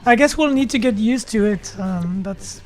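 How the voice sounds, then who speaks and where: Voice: mid-range voice, expressive, normal speed, soft background music. A co-host in a let's play, talking in a laid-back way and mostly answering with short agreements. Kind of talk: casual co-op gameplay chat.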